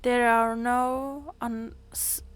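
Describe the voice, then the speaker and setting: A woman streamer reads game notes aloud at a slow, deliberate pace. Her voice sits a touch high and stays level. She now and then goes back over a word as she reads. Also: flat delivery, smooth. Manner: slow, careful reading with small restarts.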